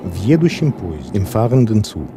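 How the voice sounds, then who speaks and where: A man's voice: on the low side, sing-song, a little fast. A man narrating terse scene directions in a radio drama. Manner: terse, announcing.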